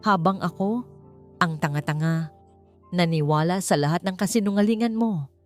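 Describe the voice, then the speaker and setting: A woman's voice: lowish voice, moderate pace, a little mellow, faint music behind, close to an everyday voice. Woman acting a trembling, heartbroken young Filipina, furious and betrayed. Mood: tearful fury. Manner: trembling, accusing, emotional.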